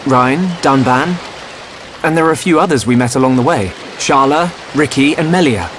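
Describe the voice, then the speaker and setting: An actor playing an earnest, protective young hero in a video game cutscene, speaking with sincere intensity. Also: male voice, medium pitch, animated, normal speed, a bit bright, slight background noise, close to an everyday voice. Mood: reassuring, determined.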